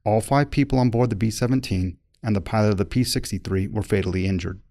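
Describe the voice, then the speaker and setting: Low, gravelly male report narrator. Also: warm tone, no echo, studio quality. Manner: measured, impersonal, factual. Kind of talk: formal scripted accident report narration. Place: close, dry recorded voice-over.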